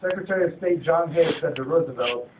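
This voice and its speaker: A man's voice: a little high, quick pace. Distant, tinny young man reading narration. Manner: stumbles over words while reading. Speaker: narrator of a student puppet show. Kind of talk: scripted narration read aloud. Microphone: phone from across the room. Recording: tinny phone recording, distant, echoey, noisy.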